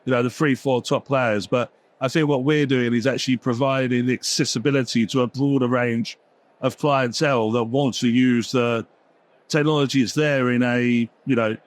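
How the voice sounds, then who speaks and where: A man on a business panel giving measured explanations. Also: medium pitch, fairly even intonation, no echo. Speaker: company executive. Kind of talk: panel conversation.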